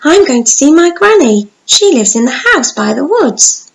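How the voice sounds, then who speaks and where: Woman putting on a wide-eyed little girl, high and bright, storybook heroine. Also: big pitch swings, moderate pace, vocal fry, noisy background, exaggerated voice. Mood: innocent.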